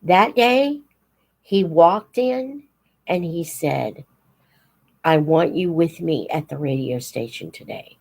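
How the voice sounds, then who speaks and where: An American woman in her seventies shares memories in an informal, reflective way. Her voice sits slightly low and she speaks slowly. She often pauses partway through a thought and tells things as a personal story. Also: lively intonation, a little mellow. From United States. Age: 70s. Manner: halting, reflective, pauses mid-sentence, acts out quoted dialogue. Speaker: host of a live Q&A stream, teacher figure.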